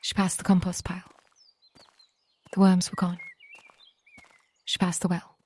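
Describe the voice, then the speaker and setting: Female bedtime narrator: very slow, mellow, soft and breathy, often close to whispering, gentle delivery. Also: on the low side, completely flat delivery. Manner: gentle, hushed, unhurried with long pauses. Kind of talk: scripted bedtime story narration, slow and cozy.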